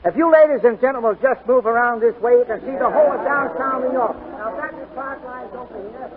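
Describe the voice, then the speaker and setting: An actor playing a practised tour guide addressing sightseers in a 1940s radio drama. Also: male voice, extremely high pitch, fairly quick. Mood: announcing. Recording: tinny, very noisy 1942 broadcast, crowd behind.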